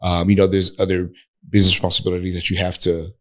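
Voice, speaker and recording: A man co-hosting a podcast in casual conversation, easygoing and measured as he works through his thoughts. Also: low voice, monotone. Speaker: podcast co-host. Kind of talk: casual couples chat.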